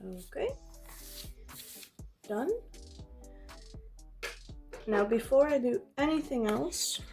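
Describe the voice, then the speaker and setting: A woman's voice: on the high side, animated, drawn-out words, darkish tone, faint music behind. A woman from the Netherlands with a Dutch accent, chatting informally in English as a non-native speaker while narrating a craft vlog. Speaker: craft vlogger. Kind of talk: casual narration while journaling.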